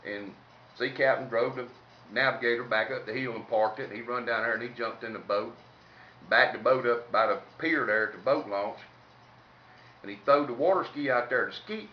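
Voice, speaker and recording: A man with a Southern US accent telling humorous stories in a folksy, salty, colloquial way. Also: medium pitch. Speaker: comedic storyteller. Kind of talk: informal humorous storytelling. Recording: roomy, distant mic, faint noise, dull sound.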